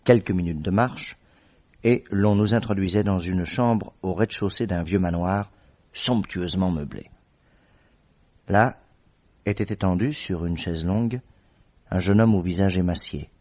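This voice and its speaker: Deep-voiced male radio narrator. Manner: descriptive and steady. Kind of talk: vintage radio drama narration. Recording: dull old radio recording.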